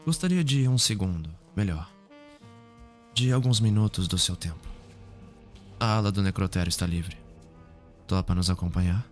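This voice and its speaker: A man playing a serious young forensic investigator in a Brazilian Portuguese ASMR roleplay, speaking formally, measured and clinical. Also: low voice, faint music behind, lightly acted. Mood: formal, polite.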